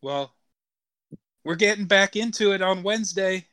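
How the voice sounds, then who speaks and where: A man's voice: high-pitched, moderate pace, bright, forceful tone, clear, resonant tone. A man co-hosting a podcast, talking casually with the others in the conversation. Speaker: podcast co-host. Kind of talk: casual chat.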